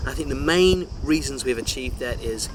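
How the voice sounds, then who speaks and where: A man's voice: mid-range voice, moderate pace, noisy background. A man chatting casually to camera as a travel vlogger, upbeat and fond of explaining things.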